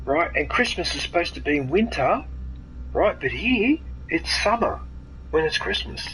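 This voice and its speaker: A man's voice: on the high side, sing-song, normal speed, fairly bright tone, slight background noise, low-quality recording. A man, originally from Italy, chatting to viewers while reacting aloud to his game. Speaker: gaming streamer. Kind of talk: casual livestream gameplay commentary.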